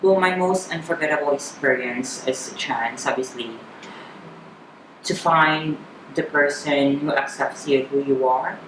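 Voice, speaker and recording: A woman in her thirties with a Filipino accent, chatting candidly as an interview guest, often halting and repeating words. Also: low-pitched. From Philippines. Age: 30s. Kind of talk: personal interview chat. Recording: echoey room with clear background noise.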